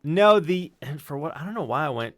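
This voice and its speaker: A man co-hosting a casual chat, halting and often repeating his words. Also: on the high side, expressive, dry recording, clean studio sound. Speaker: show co-host.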